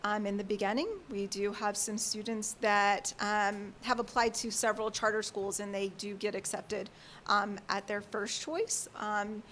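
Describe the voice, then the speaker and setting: A woman working as a school family support advisor, speaking loudly and earnestly as she explains her work at a public board meeting. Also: mid-range voice, level tone, a little slow, slight background noise.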